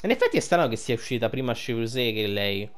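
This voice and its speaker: A man's voice: medium pitch, big pitch swings, quick pace. A man giving casual, colloquial game commentary, rambling and thinking aloud. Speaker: gameplay video creator. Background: game music.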